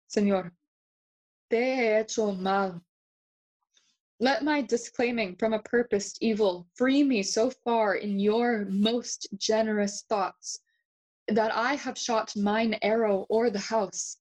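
Young prince, classical audio drama. High voice, deliberate pacing, defiant and thoughtful. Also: female voice, steady tone, a little mellow, rough recording. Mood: earnest. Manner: measured, theatrical, switching between English and Spanish.

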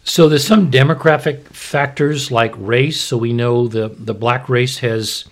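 A man's voice: medium pitch, steady tone, measured pace. A man explaining medical matters in a conversational, plain-spoken way as a podcast host and expert. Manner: explanatory, plain-spoken, asks and answers own questions.

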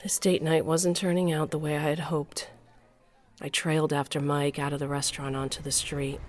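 A woman's voice: low voice, little pitch movement, normal speed, soft background music, close to an everyday voice. An actress playing a wry, wounded middle-aged wife and mother, telling her own story in an audio drama. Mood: hurt, wry. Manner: wry, sardonic. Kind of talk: first-person audio drama narration and dialogue.

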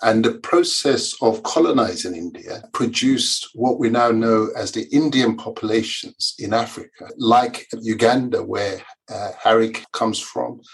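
A Nigerian-born man, an author and historian, explaining history as a podcast guest in a measured, lecturing way. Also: fairly low pitch, creaky, breathy edge, poor audio. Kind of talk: conversational explanation.

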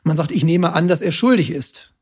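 Slightly husky man explaining in casual talk. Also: fairly high pitch, animated, moderate pace. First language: German. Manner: self-confessed language nerd, pedantic yet chatty. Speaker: podcast host explaining grammar.

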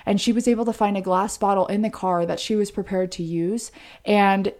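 A woman with a warm, rounded, relaxed tone co-hosts a true crime podcast. She lays out the case conversationally, as a story, explaining each step earnestly. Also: medium pitch, a little fast.

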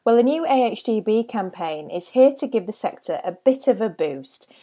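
A woman hosting a podcast, reading her links from a script. Her voice is mellow and warm, and her delivery is steady and even. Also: medium pitch, moderate pace. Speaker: podcast co-host.

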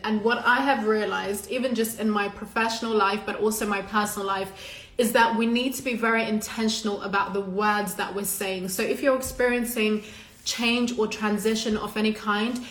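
A woman's voice: medium pitch, fairly even intonation, moderate pace, a bit bright. A woman from Zimbabwe whose first language is Shona, giving a casual, earnest and emphatic motivational talk to a live online audience, swearing freely as a coach would among friends. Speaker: live-stream host and coach. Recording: echoey, reverberant room.

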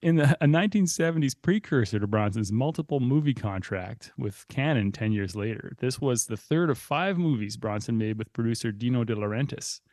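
A man's voice: medium pitch, expressive, brisk, hardly any pauses, a little mellow, no room sound, clean studio sound. A man with a Canadian accent hosting a film podcast, moving between chat and prepared narration. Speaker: podcast host. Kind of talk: film podcast talk with scripted synopsis.

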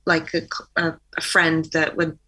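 A woman's voice: sits low, monotone, unhurried, pushed and bright, slightly rough. A young woman from Poland with an American accent, a singer-songwriter chatting casually as an interview guest and laughing often.

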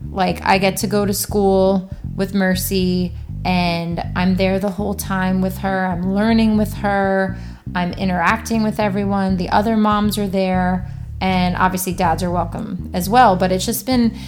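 A woman's voice: medium pitch, a little mellow. A woman co-hosting a faith-based talk show, chatting in an upbeat, enthusiastic way. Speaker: podcast co-host. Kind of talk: casual faith-based chat.

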